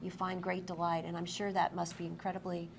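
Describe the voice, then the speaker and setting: A woman's voice: lowish voice, monotone, fairly quick. A woman interviewing a guest in an effusive, affirming way, sometimes reading aloud from a book. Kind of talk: on-stage interview with read-aloud book passages. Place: busy convention event room. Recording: clearly noisy live event room recording.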